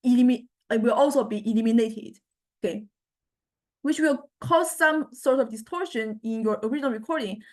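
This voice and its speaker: A woman giving a step-by-step software tutorial as a lecturer, hesitant and halting, often checking in with listeners. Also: fairly high pitch. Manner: hesitant, halting delivery with repeated check-ins.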